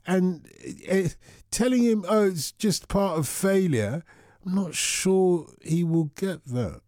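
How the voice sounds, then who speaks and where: A man's voice: high-pitched, expressive, slow, darkish tone, dry recording, clean studio sound. A man hosting a radio phone-in, chatting casually and thinking aloud with restarts. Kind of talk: casual radio chat with callers.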